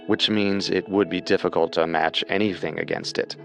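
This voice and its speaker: Male true-crime co-host, lowish pitch, serious and measured scripted read over faint music. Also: fairly even intonation, vocal fry, fairly bright tone.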